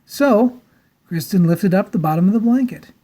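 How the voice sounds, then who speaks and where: Animated woman reading a children's story. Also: mid-range voice. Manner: theatrical, deliberate emphasis. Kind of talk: dramatic storybook read-aloud.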